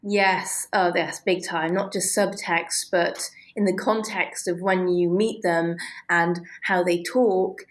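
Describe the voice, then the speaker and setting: A woman answering as an interview guest, thinking aloud in a halting, reflective, conversational way. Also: lowish voice, steady tone.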